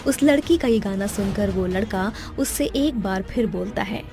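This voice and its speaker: A female narrator tells a scripted cartoon horror tale. Her voice is mid-pitched, and faint music plays behind her. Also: moderate pace. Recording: background music under the voice.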